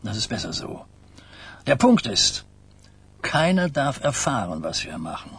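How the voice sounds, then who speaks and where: Radio crime drama: an aging New York godfather, husky and deliberate, weary of secrets, guarded yet courteous. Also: mid-range voice, very animated, fairly bright tone, exaggerated voice. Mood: businesslike, confiding. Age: older. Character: old New York mafia boss, burdened, wary, courteous. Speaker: actor.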